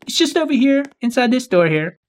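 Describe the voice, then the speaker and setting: A man's voice: very high voice, big pitch swings, brisk. A man as an easygoing, obliging cousin. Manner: casual, agreeable. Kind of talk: improvised video game roleplay.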